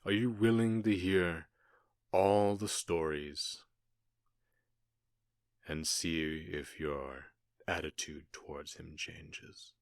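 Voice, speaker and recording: A man playing a menacing, gloating outlaw gang leader with a Southern US accent, mocking and deliberate, in an ASMR-style audio drama. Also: deep voice, steady tone, dry recording, clean studio sound. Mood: taunting, sly. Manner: mocking, deliberate, drawn-out threats.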